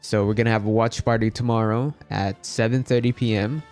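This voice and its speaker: A man's voice: lowish voice, steady tone, brisk, darkish tone, faint music behind. A man from the Philippines chatting casually as he hosts a game livestream. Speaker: game streamer.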